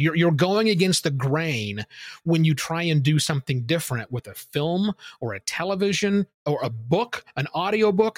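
A man's voice: fairly high pitch, dry recording, studio quality. A man answering interview questions in an opinionated, emphatic way, explaining his points with examples. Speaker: podcasting guest expert.

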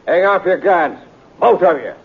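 An actor playing a cornered, menacing outlaw killer in a 1950s radio western, rough-spoken and defiant, with an American accent. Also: male voice, high-pitched, lively intonation, moderate pace, phone-quality sound. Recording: phone-thin, echoey cave-like old radio recording.

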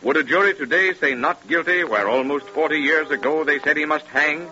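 A man playing a solemn, ominous true-crime narrator in 1930s radio style, grave, theatrical and deliberate. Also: fairly high pitch, sharp tone, faint music behind. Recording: dull, lo-fi 1930s radio recording.

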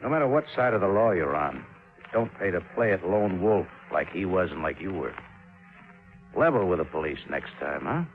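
An actor playing a stern, dry police sergeant giving gruff admonishments in a 1950s radio crime drama. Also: male voice, low-pitched, very animated, moderate pace, phone-quality sound. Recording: thin 1950s radio recording, faint music behind.

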